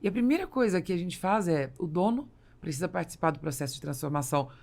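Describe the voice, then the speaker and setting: A Brazilian woman with a creaky voice hosting a conversational business podcast, explaining and affirming as she interviews. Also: on the low side, lively intonation, fast, darkish tone. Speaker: podcast host and business consultant.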